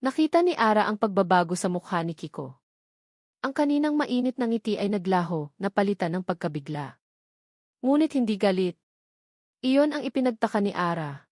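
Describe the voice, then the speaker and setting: A woman's voice: medium pitch, very animated, normal speed, pauses often, a little mellow, no echo. Filipino woman storyteller, close dry sound. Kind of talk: scripted dramatic narration of a love story.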